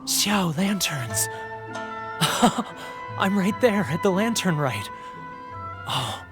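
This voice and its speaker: An actor playing a homesick, guilt-ridden, weak-willed merchant in a video game, with a theatrical, anguished delivery. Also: male voice, high voice, very animated, very slow, over music, full character voice. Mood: wistful, homesick.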